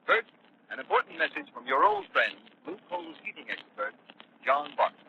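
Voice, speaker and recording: Gravelly, animated vintage radio announcer man. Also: medium pitch, moderate pace. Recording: noisy, muffled old radio recording.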